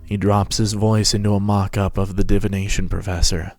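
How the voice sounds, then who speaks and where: Low voiced guy narrating a story dramatically, music and sound effects underneath. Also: little pitch movement, brisk, darkish tone, no echo, clean studio sound.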